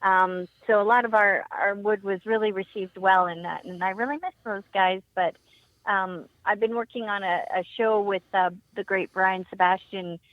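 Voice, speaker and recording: A woman's voice: medium pitch, fairly even intonation, normal speed, no echo, phone-quality sound. A woman chatting as a guest on a talk show, friendly and full of ums. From Canada. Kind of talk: casual chat.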